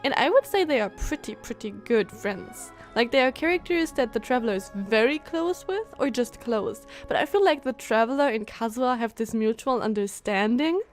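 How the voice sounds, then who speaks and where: High-voiced, excitable young woman streamer. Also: moderate pace, few pauses. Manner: excitable, gushing, giggly. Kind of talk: casual live game commentary. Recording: game music behind the voice.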